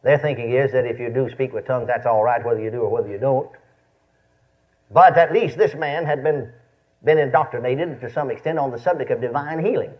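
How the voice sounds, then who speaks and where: A man's voice: medium pitch, a little fast. A man in his fifties with a Texan accent preaches a folksy, storytelling sermon, repeating phrases for emphasis and checking in with his listeners. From Texas, United States. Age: 50s. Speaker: preacher and Bible teacher. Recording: dull, lo-fi sermon recording.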